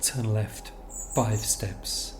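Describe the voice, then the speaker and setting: A man reads literary narration in a low voice, very slowly and with little melodic movement. His storytelling is measured and reflective, over clearly audible ambient noise. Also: fairly even intonation. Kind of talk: scripted literary narration of location-based stories.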